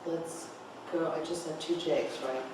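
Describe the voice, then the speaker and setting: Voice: mid-range voice, fairly even intonation, measured pace. A director leading a rehearsal informally, guiding the actors with questions and coaching. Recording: echoey room, distant mic, noise.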